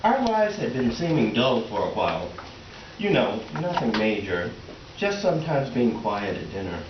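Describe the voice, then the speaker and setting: A man's voice: medium pitch, very animated, close to an everyday voice. A man playing a mild, defensive middle-aged husband in a readers theater comedy, matter-of-fact and a little awkward. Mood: wry, matter-of-fact. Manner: matter-of-fact, defensive, halting when flustered. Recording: roomy, distant, noisy, dull stage recording.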